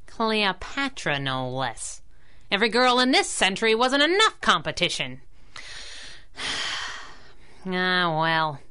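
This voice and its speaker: A woman's voice: fairly low pitch, big pitch swings, slow pace, pauses often, pushed and bright, clean tone, exaggerated voice. A woman playing a sassy, plucky young crimefighting sidekick in a 1930s-style radio drama, with slangy, wisecracking talk. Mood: jealous, wry.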